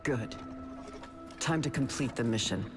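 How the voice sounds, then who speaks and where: A woman's voice: very deep voice, animated, a little slow, over music. A woman playing a tough, solemn soldier in a video game, terse and intense. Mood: resolute. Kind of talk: video game drama acting.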